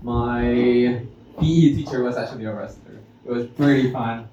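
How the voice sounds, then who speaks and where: A man with a Mexican accent, a native Spanish speaker, performing amateur stand-up with audience interaction and a halting, self-interrupting delivery. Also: medium pitch, fairly even intonation. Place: live stage in a roomy venue. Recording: echoey noisy room, distant muffled pickup.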